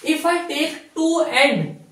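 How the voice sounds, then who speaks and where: A man's voice: extremely high pitch, big pitch swings, slow, a bit bright. A young man teaching in lecture style, repeating key phrases for emphasis and often saying okay. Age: young adult. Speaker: physiotherapy teacher. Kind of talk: classroom-style lecture at a whiteboard. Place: small bare indoor room with whiteboard. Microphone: camera mic a few steps away. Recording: very echoey room, distant microphone.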